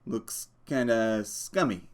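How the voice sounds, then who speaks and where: A man's voice: fairly low pitch, slow. A man voicing a small, irritable working man who scolds and swears, from a storybook. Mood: exasperated. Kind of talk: audiobook character voice.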